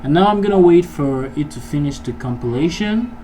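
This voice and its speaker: A man giving a casual programming tutorial, thinking aloud in an informal, halting way as he works. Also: fairly high pitch, lively intonation, warm tone. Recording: noisy mic with keyboard typing. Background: background noise, keyboard typing.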